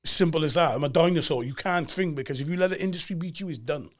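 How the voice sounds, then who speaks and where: A man in his forties with a Cardiff Welsh accent, talking as a guest on a podcast and telling stories with emphatic energy. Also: on the high side. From Cardiff, Wales. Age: 40s. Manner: animated storyteller, fast and emphatic, acts out quoted voices. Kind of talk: casual podcast chat.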